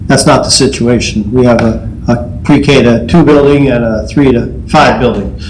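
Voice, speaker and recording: A man answering a parent's questions at a school board meeting, calm and explanatory. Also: mid-range voice, moderate pace. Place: meeting room. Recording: roomy meeting hall audio, faint noise.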